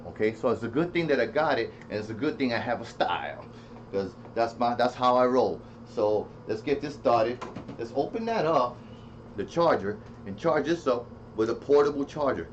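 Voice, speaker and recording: A man giving a casual, unscripted product demo, rambling as he thinks aloud and repeats himself. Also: medium pitch. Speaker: product reviewer. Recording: dull lo-fi sound with faint noise.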